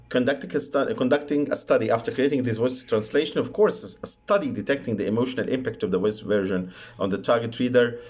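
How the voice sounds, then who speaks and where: A man with an Egyptian Arabic accent giving an academic conference presentation in a halting, deliberate way with drawn-out hesitations. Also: on the low side, darkish tone.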